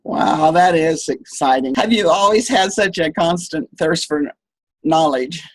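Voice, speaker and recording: A woman's voice: low-pitched, measured pace, dry recording. A woman hosting an author interview, asking questions with short friendly reactions. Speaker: interview host.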